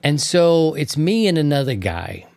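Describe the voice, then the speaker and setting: A man's voice: fairly high pitch, very animated, measured pace, creaky, a little mellow, no echo. A man hosting an amateur radio show, telling anecdotes in a casual, slangy way and acting out remembered exchanges. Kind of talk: casual anecdotal storytelling to co-hosts.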